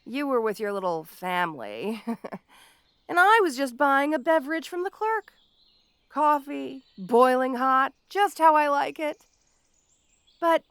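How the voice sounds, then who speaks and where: A woman playing a petty, obsessive supervillain in an audio roleplay, gloating haughtily and speaking straight to the listener with theatrical pauses. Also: high voice, lively intonation, fairly bright tone, no room sound, clean studio sound, full character voice. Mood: menacing, aggrieved. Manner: gloating, haughty, dramatic pauses and sudden swoops. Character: petty, obsessive, melodramatic female supervillain with a grudge.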